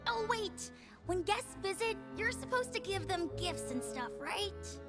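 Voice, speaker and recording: A woman's voice: extremely high pitch, expressive, a little slow, over loud music. A woman voicing an eager, naive little child in a video game, with an earnest, childlike manner.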